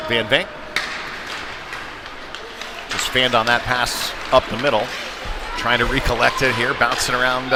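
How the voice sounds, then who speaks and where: A man giving live play-by-play commentary of a youth hockey game, following the action and lifting his voice at big plays. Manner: running description with excited shouts at big plays. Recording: noisy arena with rumble and thuds.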